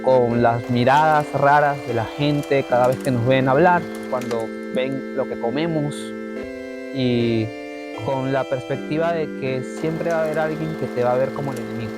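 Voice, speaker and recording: A man with a Venezuelan accent speaking slowly and reflectively as a migrant in a documentary. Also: mid-range voice, over loud music. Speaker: migrant interviewee. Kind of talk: documentary testimony.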